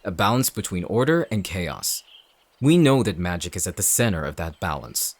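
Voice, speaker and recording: An actor playing a cold, calculating villain in an audio drama, speaking in a measured, smug, menacing way. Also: male voice, low voice, very animated. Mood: condescending. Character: cold, calculating male villain leading an anti-shifter operation. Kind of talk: dramatic audio roleplay acting.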